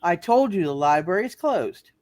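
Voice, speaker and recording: Radio play spinster librarian, female actor: wary, prim, lower register, expressive swings in pitch. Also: moderate pace, no room sound. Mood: curt, guarded. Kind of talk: hammed-up live radio play reading.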